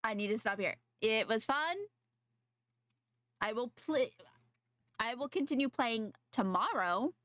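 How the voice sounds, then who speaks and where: A woman game streamer chatting casually to viewers, haltingly thinking aloud and repeating her phrases. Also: a little high, expressive, fairly bright tone. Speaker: game livestreamer. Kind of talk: casual livestream chat.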